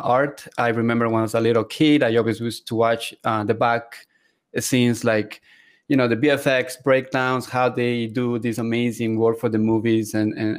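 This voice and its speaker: A man's voice: mid-range voice, clear, resonant tone. A man from Ecuador who speaks natively, answering interview questions in an earnest, casual way. He laughs often and uses many fillers, talking over a video call. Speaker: guest 3D artist.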